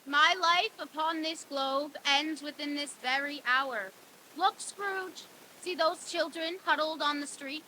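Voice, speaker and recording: A woman's voice: sits high, slow pace, bright, forceful tone, full character voice. A woman playing a solemn, foreboding Christmas spirit in a staged radio play reading. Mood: grave warning. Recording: hissy, noisy live stage recording.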